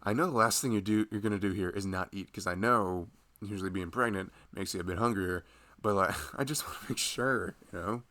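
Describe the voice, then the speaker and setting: A man playing a big, caring husband in an intimate ASMR roleplay, talking softly and fussing affectionately over his pregnant wife. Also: lowish voice, fairly quick. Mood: playful, concerned. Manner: soft, tender, fussing, playful.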